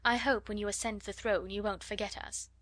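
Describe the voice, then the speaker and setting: A woman's voice: mid-range voice, fairly even intonation, a little fast, a bit bright, lightly acted. A woman voicing a spiteful, bossy schoolgirl with a mocking tone. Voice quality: mocking, scornful.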